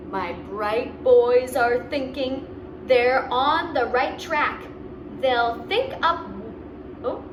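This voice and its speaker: Woman as old storybook chief, boastful, grand, emphatic, unhurried, echoing room with background noise. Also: high-pitched. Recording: roomy, distant mic, clear background noise.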